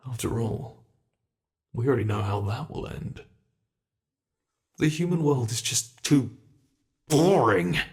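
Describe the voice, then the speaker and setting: A British man doing a gravelly taunting bed monster. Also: mid-range voice. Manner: slow, taunting, menacing, drifting into whispers. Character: sadistic male monster that hunts from under the bed. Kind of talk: ASMR horror roleplay.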